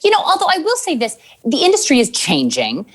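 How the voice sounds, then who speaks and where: A woman in her forties from Minnesota, a TV news anchor, telling stories in an interview and acting out quotes with a laugh. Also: a little fast. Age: 40s. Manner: animated storyteller, acts out quotes with lively pitch jumps; laughs easily while talking. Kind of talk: conversational interview.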